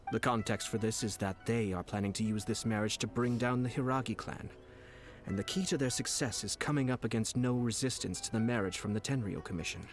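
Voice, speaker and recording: A courteous, calculating male aristocrat, played by an actor, speaks in a low, composed voice. His game dialogue is formal and persuasive, measured over background music. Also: lightly acted. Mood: shrewd, composed. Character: calm, shrewd, courteous noble commissioner, male strategist. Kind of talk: video game voice acting.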